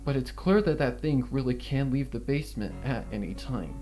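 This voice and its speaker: A man narrating a dark first-person fan fiction story in a brooding, deliberate, dramatic way. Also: medium pitch. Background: guitar music.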